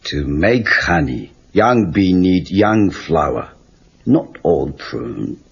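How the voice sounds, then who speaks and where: A man's voice: low-pitched, big pitch swings, slow, gravelly, breathy edge, full character voice. An actor playing a wise, terse old Okinawan handyman with a Japanese accent and clipped broken English in an audio story. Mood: friendly, probing. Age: older. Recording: dull cassette tape with music.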